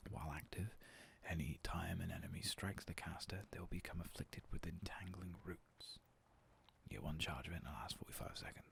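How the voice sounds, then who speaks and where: Male ASMR gaming host, deep and hoarse, gravelly near-whisper, soft-spoken and meandering. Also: a little fast. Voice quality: coarse, gravelly voice. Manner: soft, half-whispered, rambling and self-deprecating. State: hoarse after a long work shift.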